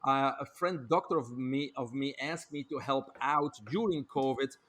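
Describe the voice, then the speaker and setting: A man's voice: medium pitch, normal speed. Belgian-accented man telling his story. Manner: fluent, energetic, frequent filler words. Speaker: guest, doctor and author. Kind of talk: podcast interview, storytelling.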